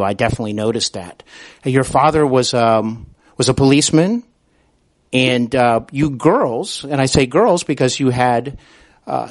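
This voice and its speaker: Man, American accent, medium pitch, podcast host, conversational interviewing, halting attentive phrasing.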